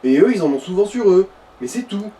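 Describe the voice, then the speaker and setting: A man's voice: high-pitched, animated, a little fast, dark tone. A man giving slangy, explanatory co-commentary in a casual gaming chat. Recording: distant mic with background noise.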